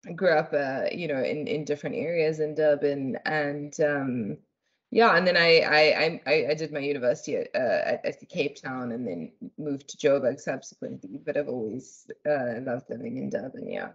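A young woman with a South African accent answers interview questions on a video call. Her voice sits low and a little husky. The call audio is dull and lo-fi. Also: normal speed. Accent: South African English. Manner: articulate, laughs easily, thoughtful. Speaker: guest. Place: home room, indoors.

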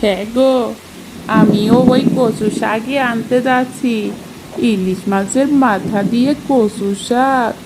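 Slow-talking cartoon neighbor guy, teasing, with rain noise over the voice. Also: a little high, steady tone. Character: cheerful male village neighbor. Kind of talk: cartoon dubbing.

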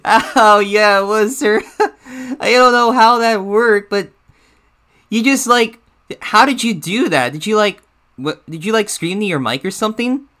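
A man's voice: high-pitched, measured pace, pushed and bright. A man voicing an eager, deferential cartoon shadow bear sidekick in hesitant, improvised banter. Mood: curious, amused. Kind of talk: improvised character reaction banter.

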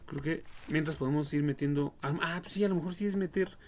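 A man's voice: on the high side, fast talker, a little mellow. A young man with a Mexican accent, giving profane, slangy, reactive live commentary on a horror game. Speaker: game streamer. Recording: noisy home livestream microphone.

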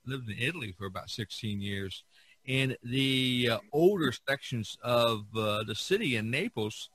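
A man hosting a podcast in casual chat, speaking haltingly and repeating words as he searches for phrasing. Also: medium pitch, animated, fairly bright tone, slightly rough, dry recording. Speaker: podcast host.

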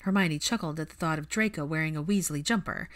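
A woman's voice: low voice, quick pace, a bit bright. A woman narrating fan fiction as scripted audiobook storytelling.

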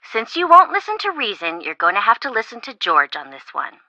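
A woman's voice: fairly high pitch, a little fast, dry recording, highs cut off, exaggerated voice. An actress playing a bubbly, chatty, teasing young American woman, a shopping-loving best friend in a video game. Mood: exasperated, teasing. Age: young adult. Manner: expressive, teasing, casual.

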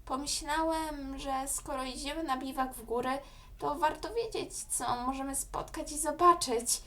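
A woman's voice: high voice, unhurried, sharp tone, pitched above the performer's own voice. A woman voicing a bookish, matter-of-fact boy in a children's audio story. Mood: sheepish.

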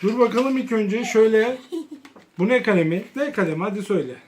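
A woman's voice: medium pitch, expressive, a little fast, a little mellow. A young adult woman chatting casually with a child, teasing and quizzing as she shows things on a home vlog. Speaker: family vlogger showing school supplies.

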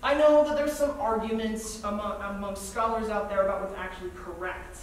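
A woman's voice: mid-range voice, a little fast, lightly acted. A woman playing an earnest, exasperated theater professional in a staged reading, recorded from far off in a theater. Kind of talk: live staged play reading. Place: large theater stage, indoors. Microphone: far camera in the audience. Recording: distant, very echoey theater, faint noise.

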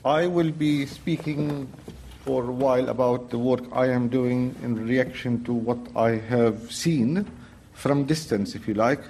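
A man's voice: medium pitch, measured pace, darkish tone. Hesitant Syrian man, some background noise. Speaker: artist presenting his work. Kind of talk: informal illustrated talk. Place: public event on a stage.

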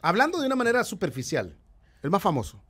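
A man in his thirties hosting a casual podcast chat, who teases his guest and mimics accents while telling stories. He talks into a desk mic in a dark studio. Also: high-pitched, huge pitch swings, quick pace, slightly rough. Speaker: podcast host. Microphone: desk mic on stand.

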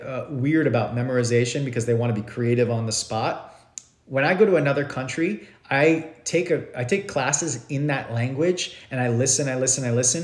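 A man's voice: medium pitch, echoey room. A man in his thirties teaching yoga on a live stream from his living room, talking animatedly with loose, repetitive phrasing. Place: living room at home, indoors.

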